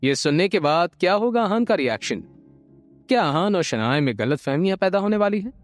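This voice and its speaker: A man narrating an audio drama from a script, expressive and building suspense. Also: high voice, brisk. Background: light background music.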